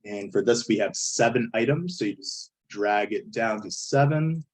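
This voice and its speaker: A man with a hoarse, failing voice who often clears his throat, walking step by step through a statistics software tutorial over a screen recording. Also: lowish voice, lively intonation, moderate pace. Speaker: statistics instructor. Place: indoors at a computer, voice-over on screen capture.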